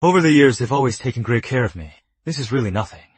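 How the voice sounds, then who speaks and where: A gentle young father. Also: mid-range voice, lively intonation, fast talker, slightly rough, dry recording.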